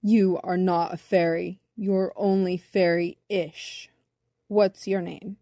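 A woman voicing a slow, serious male bumblebee with a rumbly bass voice in a children's storybook. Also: little pitch movement, warm tone, no echo, studio quality. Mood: deliberating. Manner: slow, deliberate, pedantic.

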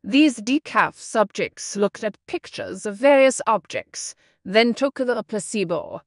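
Slow, creaky-voiced woman reading aloud. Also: a little high, expressive, no room sound, studio quality. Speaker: narrator reading model answers. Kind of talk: scripted read-aloud for exam practice.

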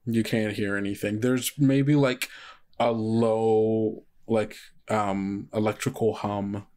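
A man's voice: on the low side, level tone, slow, darkish tone, clear, resonant tone. A man with an American accent who runs a tabletop game, narrating off the cuff in a conversational, rambling way full of asides. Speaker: game master.

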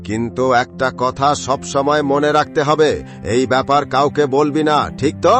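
A man's voice: medium pitch, unhurried, natural voice. A man playing a greedy, threatening head gardener in a cartoon story, scolding and bullying as he talks. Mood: sly, bullying. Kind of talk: dramatized cartoon story dialogue. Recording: music behind the voice.

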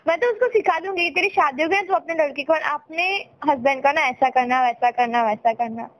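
A woman's voice: high-pitched, moderate pace, poor audio. A young woman with an Indian accent chatting casually with a playful, dramatic, pouty teasing manner. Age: young adult. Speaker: girlfriend on a phone call. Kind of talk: casual playful couple chat.

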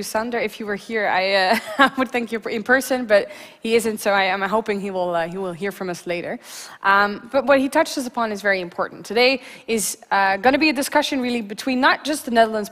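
A woman's voice: medium pitch, fairly quick, fairly bright tone. A young woman hosting a live event on stage, chatty and informal with frequent fillers. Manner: chatty, many fillers, laughs often. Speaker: event host. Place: large stage hall. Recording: reverberant hall.

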